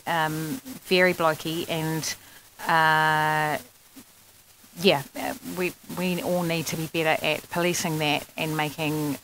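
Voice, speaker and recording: A woman comedian answering an audience question on a panel, relaxed and full of ums. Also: deep voice, slight background noise, low-quality recording.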